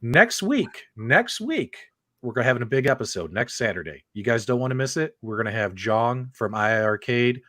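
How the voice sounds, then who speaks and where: A man's voice: mid-range voice, big pitch swings, moderate pace, pushed and bright, no echo. An enthusiastic man hosts a casual livestream chat. He repeats phrases for emphasis, and his voice sounds dry and close, with very little room around it. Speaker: host of a video game talk show.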